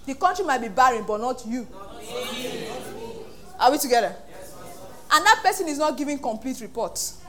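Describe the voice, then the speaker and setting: Nigerian woman, pastor, sermon, animated, acts out voices, high voice. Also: normal speed, long pauses, a crowd in the background.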